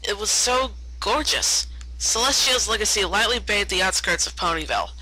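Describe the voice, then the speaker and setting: Very bright-voiced woman reading a story. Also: mid-range voice, lively intonation, normal speed, breathy edge, poor audio. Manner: stumbles over words and repeats them. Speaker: fan fiction reader. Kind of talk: read-aloud story narration.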